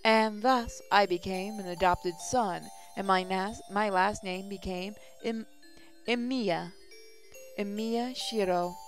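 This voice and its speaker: Casting: female game-channel host, noticeable vocal fry, mid pitch, casual read-aloud of story text over soft music. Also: takes it slowly. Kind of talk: reading visual novel text aloud, with casual asides.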